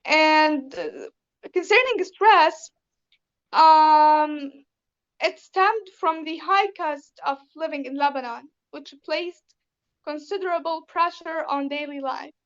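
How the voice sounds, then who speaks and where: Syrian-accented woman, high voice, lo-fi. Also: takes it slowly. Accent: Syrian Arabic. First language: Arabic. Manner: careful, formal phrasing with many ums. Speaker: interview guest. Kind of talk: interview answers.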